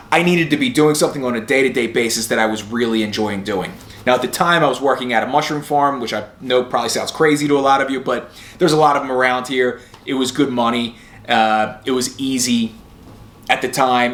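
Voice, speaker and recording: A man's voice: medium pitch, a bit bright. A middle-aged man giving a casual, candid motivational talk drawn from personal experience, as the host of a recovery podcast. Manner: conversational, candid. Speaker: host of a sobriety podcast and video channel. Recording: roomy echo.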